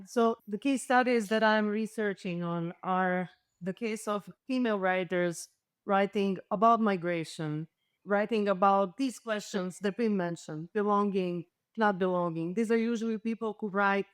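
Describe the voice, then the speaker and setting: A woman researcher with a Balkan accent and Serbo-Croatian as her first language speaks on a panel. Her voice is clean and smooth at a middle pitch, recorded dry. She feels her way through her thoughts, restarting and correcting herself as she goes. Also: no echo. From former Yugoslavia. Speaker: panel guest, literature researcher. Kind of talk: reflective panel discussion.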